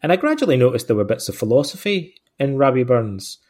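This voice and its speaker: A man's voice: mid-range voice, big pitch swings, fast talker, a little mellow, husky. A middle-aged Scottish man, an author answering interview questions in a rambling, self-deprecating way with many fillers and restarts. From Scotland. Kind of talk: relaxed conversational answers.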